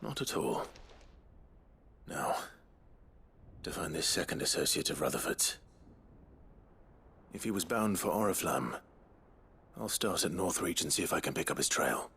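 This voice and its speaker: A low-pitched, resolute noble swordsman in a video game cutscene. He speaks plainly and with restraint, grim but protective. Clear ambient noise sits behind the voice. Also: expressive, unhurried, pauses often, sharp tone, heavily acted.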